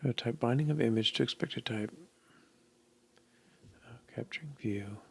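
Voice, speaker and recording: A middle-aged man thinking aloud as he codes, musing and halting as if muttering to himself, in casual commentary. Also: medium pitch, creaky, slight background noise. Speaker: programmer live-coding. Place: indoor room at a desk.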